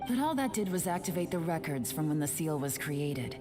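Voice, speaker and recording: Low-voiced, cool, shrewd operative. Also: female voice, big pitch swings. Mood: composed, sober. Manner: measured, composed, matter-of-fact. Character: cool, shrewd, composed adult woman, frank and analytical. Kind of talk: video game voice acting. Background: game music.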